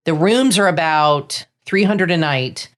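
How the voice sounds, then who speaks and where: A woman's voice: fairly low pitch, a little slow, fairly bright tone. A middle-aged woman from Florida, co-hosting a casual chat and running through opinions in a chatty, opinionated way. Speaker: co-host.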